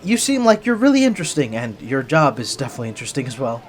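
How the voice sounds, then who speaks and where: A man's voice: medium pitch, very animated, fast, lightly acted. A man playing a shy, flustered young bunny-boy hybrid in an ASMR roleplay, stammering and hesitant, earnest and sweet as he speaks to the listener. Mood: nervously hopeful.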